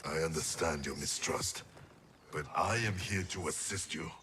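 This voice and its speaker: An actor playing a grim, honorable ninja warrior in a video game, solemn, terse and deliberate. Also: male voice, extremely low pitch, sharp tone, a little hiss or hum. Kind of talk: dramatic video game acting.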